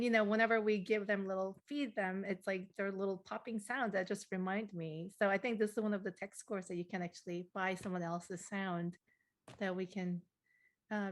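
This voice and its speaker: A woman leading an informal group listening session, conversational and halting as she searches for words. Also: mid-range voice. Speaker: facilitator of a deep listening session.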